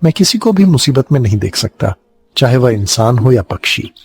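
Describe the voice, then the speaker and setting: A man voicing a kind, humble villager in a cartoon story, earnest and pleading, with a husky, creaky voice. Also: medium pitch, big pitch swings, normal speed, soft background music.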